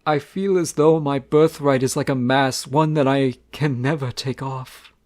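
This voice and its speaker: An actor playing a shy, sensitive young crown prince in a romantic audio roleplay, speaking softly and haltingly. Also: male voice, mid-range voice, steady tone, lightly acted. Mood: wistful. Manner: soft, hesitant, halting.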